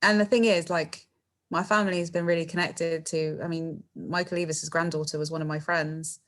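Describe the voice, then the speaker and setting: A middle-aged woman from England, speaking in a fairly low voice. She chats loosely as a podcast guest, laughing easily and tacking on fillers and tag questions. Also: level tone, brisk, fairly bright tone. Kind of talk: casual interview chat.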